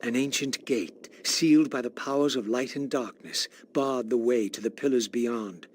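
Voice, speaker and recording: An actor playing a bitter, brooding undead wraith, narrating a video game in a grave, formal, theatrical manner. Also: male voice, medium pitch, normal speed, a little hiss or hum, natural voice. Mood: brooding, resolute. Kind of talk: scripted dramatic game narration.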